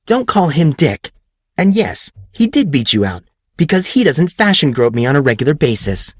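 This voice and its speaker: A man's voice: a little high, sing-song, normal speed, breathy edge, no room sound, clean studio sound, pitched above the performer's own voice. A man voicing a sarcastic, easily exasperated teenage tomboy girl in an audiobook story.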